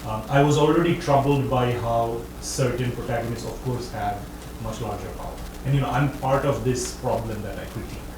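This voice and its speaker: A man answers an audience question in a roomy lecture hall, weighing his words as he goes and often stopping to correct himself. He sits a little back from the mic, and steady background noise runs under his speech. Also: medium pitch, a little fast. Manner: thoughtful, hedging, self-correcting. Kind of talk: academic talk Q&A answer. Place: lecture room. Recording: echoey room, distant mic, background noise.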